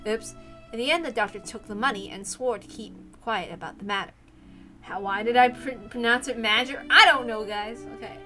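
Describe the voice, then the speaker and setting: Bright-voiced woman reading a visual novel. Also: a little high, moderate pace, faint music behind. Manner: informal, rambling, self-interrupting. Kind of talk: casual chat and story read-aloud.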